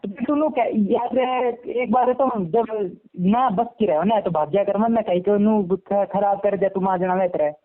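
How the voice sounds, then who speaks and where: Man, Haryanvi accent, high-pitched, argumentative domestic phone talk, thin telephone-quality recording. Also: normal speed. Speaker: husband on a phone call. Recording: muffled narrowband phone call recording.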